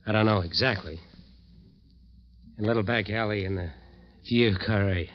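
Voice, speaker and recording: A man acts a desperate, haunted band leader in a vintage radio thriller. His voice sits on the low side and pushes with agitated insistence. The old recording sounds dull and muffled. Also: moderate pace, natural voice. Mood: weary desperation. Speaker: radio drama actor. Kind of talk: 1940s-50s radio suspense acting.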